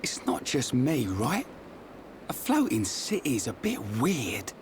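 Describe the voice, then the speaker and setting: A brash, animated young fighter. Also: male voice, mid-range voice, slow, slight background noise. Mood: skeptical, uneasy. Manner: blunt, informal, grumbling. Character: brash, skeptical, easily unsettled young fighter. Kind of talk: video game cutscene acting.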